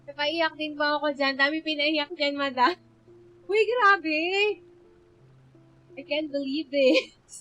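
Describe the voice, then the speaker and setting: A woman's voice: high voice, a little slow, long pauses, fairly bright tone. A woman with a Filipino accent chatting casually and emotionally as she livestreams a game, full of exclamations. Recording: music playing behind the voice. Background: game music.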